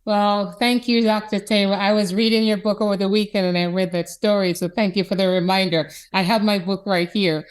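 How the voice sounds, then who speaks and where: A woman from Jamaica with a Jamaican accent, an institute president telling childhood stories in an expressive, animated way during an interview. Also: medium pitch, fairly quick, hardly any pauses, smooth. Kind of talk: conversational interview.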